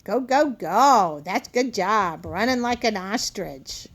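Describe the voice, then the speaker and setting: A woman's voice: medium pitch, lively intonation, slow pace. A woman helping a young child read a picture book aloud, casually prompting, echoing lines and encouraging in child-directed speech.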